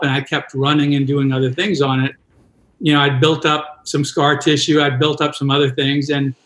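Guy in his sixties rambling thru a story, a bit high voiced, echoey room. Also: a bit bright. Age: 60s. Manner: rambling, self-deprecating, frequent restarts. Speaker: guest, triathlon coach. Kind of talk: casual storytelling in a podcast interview.

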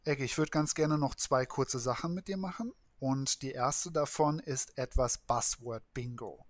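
Relaxed male interviewer, dry sound. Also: medium pitch, measured pace, no room sound. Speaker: interviewer, host. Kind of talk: casual interview questions.

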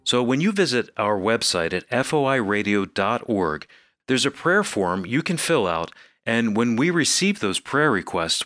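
A man's voice: lowish voice, a bit bright, dry recording, studio quality. A man with an American accent reading scripted announcements as co-host of a radio program.

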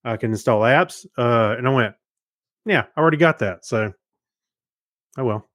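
A man's voice: medium pitch, normal speed, a bit bright, dry recording. Rambling middle-aged man, close dry sound. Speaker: podcast co-host. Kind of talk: casual chat.

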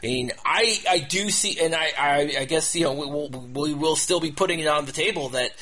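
A man with a somewhat high-set voice hosts a casual sports discussion. He speaks in a halting, looping way, often repeating the start of a phrase before carrying it through. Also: pushed and bright. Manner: halting, repetitive, restarts phrases over and over. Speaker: podcast host.

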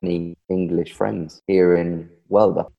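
A man's voice: low-pitched, flat delivery, unhurried, creaky, lo-fi sound. A man with a broad Geordie accent, a guest on an interview, speaking modestly and laughing often. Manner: modest, laughs often, self-described shy. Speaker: guest, video creator. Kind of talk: conversational interview. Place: living in southern Spain.

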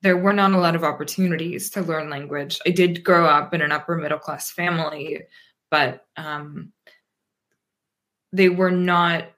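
A woman in her thirties from Pennsylvania, talking as a guest in a relaxed interview. Her voice sits fairly low, and she thinks aloud as she goes, full of ums, restarts and trailing asides. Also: moderate pace. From Pennsylvania, USA. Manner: thoughtful, rambling, frequent ums and restarts. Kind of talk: casual interview conversation.

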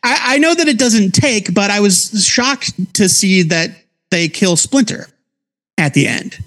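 A man with a notably high voice co-hosts a casual review podcast. He explains things in detail, in an easy conversational way with a geeky bent. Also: animated, measured pace, a bit bright. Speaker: co-host of a comics review podcast.

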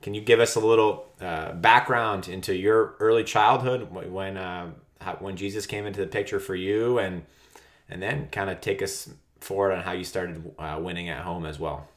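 Deep-voiced devout podcast host. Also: male voice, fairly even intonation. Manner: halting, many fillers and restarts, devout. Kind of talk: podcast host intro and interview.

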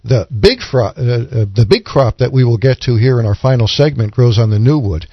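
A man co-hosting a radio Bible programme, explaining scripture in an earnest, measured teaching manner. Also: medium pitch, animated, breathy edge, no room sound, muffled recording. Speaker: radio Bible commentator and co-host. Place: radio studio.

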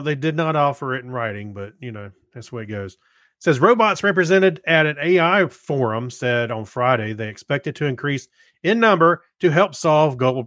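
A man's voice: a little high, fairly bright tone, dry recording, studio quality. A man co-hosting a podcast, reading news and bantering in a loose, jokey, amused manner. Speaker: podcast co-host.

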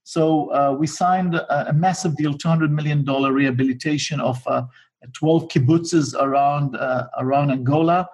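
Husky middle-aged Israeli man, slightly high voice. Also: steady tone, normal speed, darkish tone. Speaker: interview guest, businessman. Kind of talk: conversational life story in an interview.

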